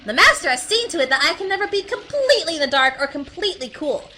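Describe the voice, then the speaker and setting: A blunt, sassy bat of the underworld in an audio drama. The voice is high and very sharp, with lively swings in pitch. It sounds mocking yet matter-of-fact. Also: female voice, fast talker, slight background noise, heavily acted. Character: sassy, blunt bat serving as guide in Hell. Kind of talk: audio drama character acting.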